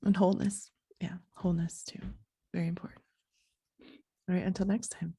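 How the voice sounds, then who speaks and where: Soft sleepy-voiced woman podcaster, gravelly. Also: fairly low pitch, level tone. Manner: slow, halting, breathy and soft-spoken. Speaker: podcast host. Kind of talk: soft reflective monologue.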